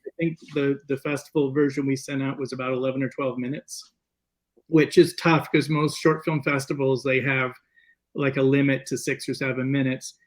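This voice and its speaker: A man speaking as a guest filmmaker, telling stories in a casual, animated interview style. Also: mid-range voice, normal speed.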